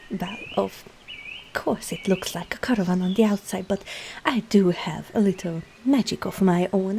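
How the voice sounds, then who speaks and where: A woman's voice: medium pitch, gravelly, darkish tone, slight background noise. A woman voicing a sly, teasing traveling witch and herbologist in an ASMR roleplay, coy and murmuring into half-whispers as she addresses the listener. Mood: evasive, playful.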